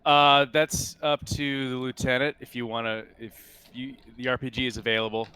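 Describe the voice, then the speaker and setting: A man running a tabletop roleplaying game as game master, thinking aloud in a halting, unscripted way. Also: mid-range voice, flat delivery, sharp tone, clean tone.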